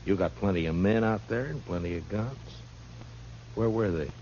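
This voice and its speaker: An American actor playing a firm, laconic frontier marshal in a 1950s radio western. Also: male voice, on the low side, moderate pace, a little mellow, close to an everyday voice. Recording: muffled, noisy old radio recording with hum.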